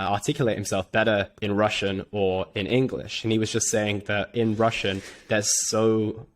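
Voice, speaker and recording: A man hosting a podcast, asking relaxed, rambling questions in a casual interview. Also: on the low side. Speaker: podcast host.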